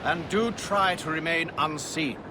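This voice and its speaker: High-voiced, composed gentleman leader acted by a man. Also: lightly acted. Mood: cool, strategic. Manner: measured, formal, superior. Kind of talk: dramatic video game acting. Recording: loud ship and ocean noise.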